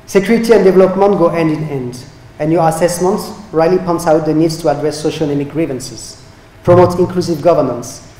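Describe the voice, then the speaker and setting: Man giving a measured formal speech. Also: fairly high pitch, dark tone. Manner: measured, read-out delivery. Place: conference hall. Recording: echoey conference hall, faint noise.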